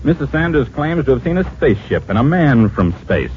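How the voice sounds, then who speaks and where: An actor playing a practical, skeptical official in an old-time radio drama, brisk and authoritative. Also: male voice, mid-range voice, big pitch swings, close to an everyday voice. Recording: muffled old radio recording, faint hiss.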